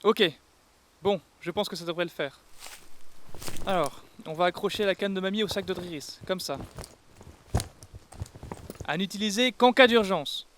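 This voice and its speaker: A man's voice: high voice, normal speed, long pauses, fairly bright tone, slight background noise. A man playing a bossy but protective and reasonable young group leader in an audio drama, speaking firmly like a parent. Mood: matter-of-fact. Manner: firm, parental, takes charge. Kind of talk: audio fiction acting.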